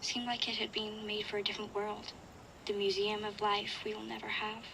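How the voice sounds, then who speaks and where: An actress playing a wistful young girl survivor, narrating a reflective, measured audio diary for a video game. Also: medium pitch, monotone, creaky, noisy background, natural voice. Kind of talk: scripted first-person audio diary narration.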